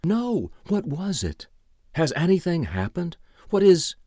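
A man voicing a flustered, embarrassed gentleman who babbles hesitantly in an audiobook. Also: medium pitch, very animated, a little slow, no echo, studio quality, voice pushed up. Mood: anxious. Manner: stammering, hesitant, over-explaining. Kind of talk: audiobook character voice.

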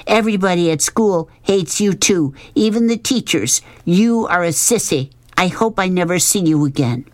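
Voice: mid-range voice, animated, measured pace, darkish tone, no echo, voice pushed up, natural voice. A narrator voicing a proud, righteous young girl in a children's audiobook, insistent, clipped and accusing. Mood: cold, hateful.

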